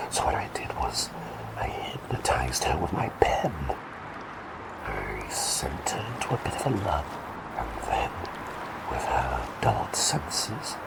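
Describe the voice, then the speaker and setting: A man's voice: deep voice, vocal fry, full character voice, poor audio. A man voicing a quirky, eccentric male plague doctor who has turned into a small talking bird, chatting and rambling in a whispered roleplay. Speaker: ASMR roleplay performer.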